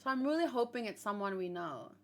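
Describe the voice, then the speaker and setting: A woman gives casual live commentary in a mid-pitched voice. She talks to viewers in an informal way, musing as she goes and pausing to think things through. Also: lively intonation. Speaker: host of a manga read-along reaction show.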